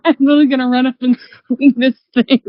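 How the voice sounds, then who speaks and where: A woman's voice: high voice, flat delivery, brisk, no room sound, muffled recording. A woman playing a tabletop game, casually describing what her character does.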